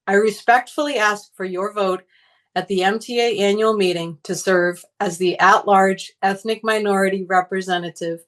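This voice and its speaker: Woman doing a union campaign speech, earnest n formal, medium pitch. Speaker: union candidate, teachers' organizer.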